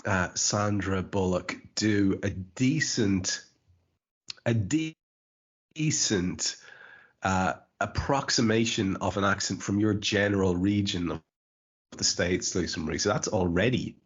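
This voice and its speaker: Low husky irish guy chatting on a podcast, stumbles on words, dull audio. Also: slow pace. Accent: Irish, mild. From Ireland. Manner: stammers on repeated words. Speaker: podcast host. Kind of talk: casual chat.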